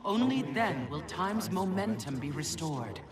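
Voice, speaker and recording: High, imperious elder god. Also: male voice, big pitch swings, unhurried, crowd noise. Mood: grave, commanding. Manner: grand, portentous delivery. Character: grave, imperious elder deity warning of doom. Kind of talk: video game cutscene acting. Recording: distant voice under loud music.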